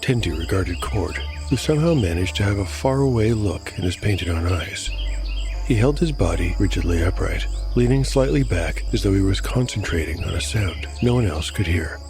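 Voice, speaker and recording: Low-voiced man narrating a solo tabletop game. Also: animated, normal speed. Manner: rolls dice aloud, reflective asides. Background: music.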